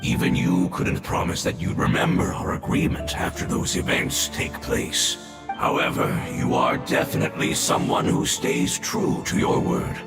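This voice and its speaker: A man voicing an ancient dying creature in a video game, weary, solemn and devoted, with formal, reverent speech and a gravelly growl. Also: very deep voice, wildly swooping pitch, a little slow, echoey room, recorded from a distance, full character voice. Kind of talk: scripted video game voice acting. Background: game music.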